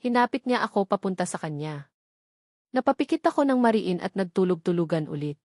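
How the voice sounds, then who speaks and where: A woman's voice: medium pitch, expressive, a little fast, darkish tone, no room sound, studio quality. A woman with a Filipino accent reading a scripted first-person romance story as an audiobook narrator.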